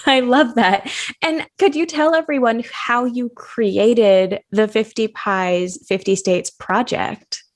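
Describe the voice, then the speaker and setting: A woman's voice: a little high, slow. A woman hosting a casual conversational interview, speaking in an affirming, encouraging way. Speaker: podcast host, interviewer.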